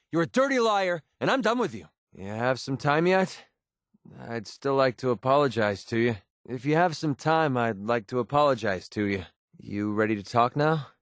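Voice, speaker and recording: Guy voicing a wry young mercenary from a video game, guarded but soft underneath, mid pitch, very dry sound. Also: dry recording, close to an everyday voice. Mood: calm, conciliatory. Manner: informal, halting when emotional. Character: guarded young mercenary, wry, short-tempered, secretly tender. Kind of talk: scripted video game character dialogue.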